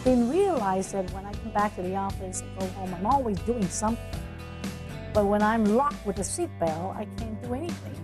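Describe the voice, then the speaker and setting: A woman speaking in a relaxed, conversational way in a documentary interview. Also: mid-range voice, moderate pace. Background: guitar music.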